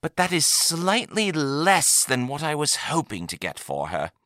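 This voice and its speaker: Sly alien merchant voiced by a man, ingratiating haggler for a sci-fi audiobook, animated and forward in tone. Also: on the high side, a little slow, no echo, clean studio sound, pitched above the performer's own voice, exaggerated voice. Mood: feigned sorrow. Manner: ingratiating, conspiratorial, dramatic haggling. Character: sly, flattering male alien trader, youthful, theatrical salesman.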